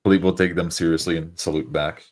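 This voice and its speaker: A man's voice: deep voice, steady tone, fast talker, a little mellow. A man roleplaying as a player in a tabletop game, describing actions and speaking in character.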